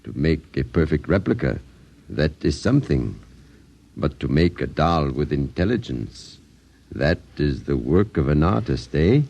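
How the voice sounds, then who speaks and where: A man's voice: a bass voice, sing-song, a little slow, gravelly, a little mellow, slightly rough, heavily acted. A man playing a mysterious old dollmaker in 1950s radio drama, with a pompous, theatrical delivery. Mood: grandiose. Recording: muffled 1950s radio recording, music behind.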